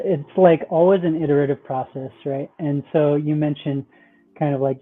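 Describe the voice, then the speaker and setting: Man on a tinny call line, rambling. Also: fairly high pitch. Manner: rambling, many "like", "you know" and "right?". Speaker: guest, metaverse project founder. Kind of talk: casual podcast conversation. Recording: tinny phone-like call audio.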